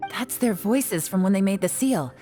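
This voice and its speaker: A woman playing a cool, shrewd investigator in a video game, speaking in a measured, matter-of-fact way. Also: mid-range voice, animated, warm tone, soft background music, natural voice. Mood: composed. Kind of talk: video game story acting.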